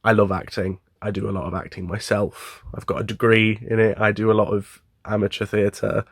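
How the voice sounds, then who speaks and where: A man's voice: lowish voice, level tone, normal speed, vocal fry, no room sound, clean studio sound. A man who acts as an amateur, giving casual interview answers. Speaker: amateur actor, writer.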